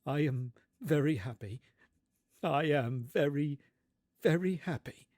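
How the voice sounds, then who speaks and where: A man voicing a gentle, grieving poor father in a storybook, tender and faltering. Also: fairly high pitch, slow pace, no room sound, studio quality, voice pushed up. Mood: moved. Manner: tender, faltering, repeating himself. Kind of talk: dramatised storybook reading.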